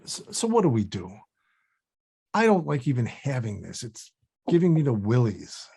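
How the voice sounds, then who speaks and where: A male actor plays a defensive, profane older man in a comedy read. The voice is husky and very animated in pitch, grumbling and blunt in a natural way. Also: normal speed, full character voice. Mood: uneasy. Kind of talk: table read of a comedy script.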